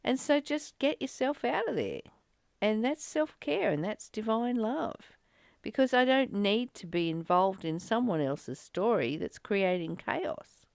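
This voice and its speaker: Gravelly, slow-paced woman in spiritual discussion. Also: mid-range voice, lively intonation, dry recording, studio quality. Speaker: study group reader and discussion partner. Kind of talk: reflective spiritual discussion with passages read aloud.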